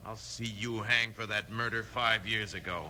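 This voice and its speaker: An actor playing a cold, power-hungry outlaw boss in a 1950s radio western, commanding and menacing. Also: male voice, on the low side, steady tone, moderate pace, pushed and bright. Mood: menacing, impatient. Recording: noisy 1950s radio recording.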